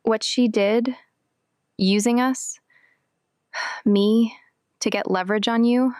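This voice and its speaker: A woman playing an embarrassed, angry daughter in an audio drama, confessing in a halting, frank way. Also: fairly high pitch, fairly even intonation, a little mellow, no echo, clean studio sound. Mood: ashamed, angry.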